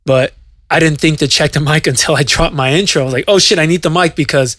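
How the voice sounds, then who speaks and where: A man's voice: on the high side, quick pace, a bit bright, dry recording, clean studio sound. A male DJ co-hosting a podcast, telling a story at length in casual chat, with many fillers and restarts. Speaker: podcast co-host and DJ.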